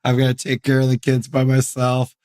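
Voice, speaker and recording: Hoarse guy talking solo on his podcast, kinda rambly, mid pitch. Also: completely flat delivery, fairly quick, darkish tone. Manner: candid, rambling. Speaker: podcast host.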